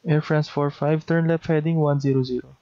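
A man's voice: on the high side, fairly even intonation, fairly quick, creaky, dark tone, clean tone. A man with a Filipino accent speaking in the clipped, procedural style of an air traffic control demonstration, on a dull lo-fi recording.

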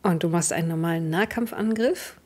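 A young adult woman with a slightly husky voice, narrating a tabletop role-playing game as game master in vivid descriptive detail. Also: fairly low pitch, a little fast, a little mellow. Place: indoor home streaming setup. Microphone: headset.

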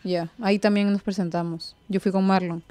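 A woman's voice: mid-range voice, little pitch movement, moderate pace, mellow. A young woman with a Peruvian accent chatting casually as a radio host at a studio mic. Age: young adult. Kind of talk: casual chat. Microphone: studio mic on a desk arm.

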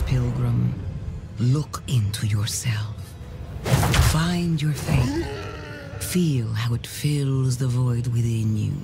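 Very slow, gravelly zealot preacher. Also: male voice, mid-range voice. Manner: grave, commanding, archaic preaching. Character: stern, solemn male religious zealot guiding pilgrims. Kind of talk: solemn scripted game oration.

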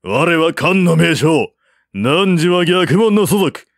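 A man's voice: a little high, sing-song, slow, dry recording, studio quality, pitched above the performer's own voice, heavily acted. A man voicing a haughty, mocking warrior general in an audiobook, goading his foe in declamatory speech. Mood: scornful. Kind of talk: dramatic audiobook character reading.

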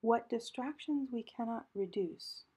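Female meditation guide, very breathy and soft, mellow tone, calm measured delivery with pauses. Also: on the high side.

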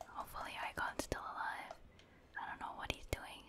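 A woman whispers softly and breathily as she plays a video game for ASMR. She keeps up a casual, murmured play-by-play in short remarks, never using full voice. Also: fairly quick.

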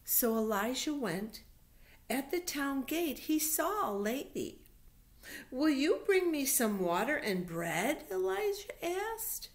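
Slow-speaking middle-aged woman reading to children. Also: high-pitched, big pitch swings. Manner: simple, patient explaining with deliberate emphasis. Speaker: children's Sunday school storyteller. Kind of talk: reading Bible stories aloud to young children, explaining as she goes. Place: living room at home. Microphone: webcam or laptop microphone at arm's length.

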